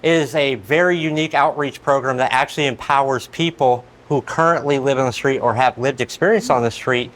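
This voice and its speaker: A man co-leading a training demonstration, explaining in measured, articulate, long sentences in a conversational way. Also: mid-range voice, a bit bright. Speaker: outreach program manager, co-trainer.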